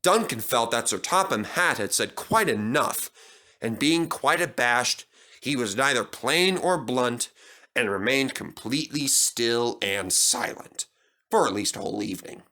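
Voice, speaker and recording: Brief: male storybook narrator, lively and bright, pitched a bit high. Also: a little slow. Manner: animated, expressive. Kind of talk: storybook reading.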